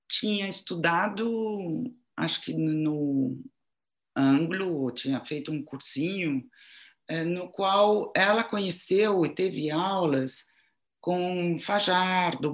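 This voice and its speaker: A woman in her sixties, speaking her second language, answers reflectively in an interview, halting as she searches for words. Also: lowish voice. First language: not Portuguese (Portuguese is second language). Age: 60s. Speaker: interviewee, writer and art journalist.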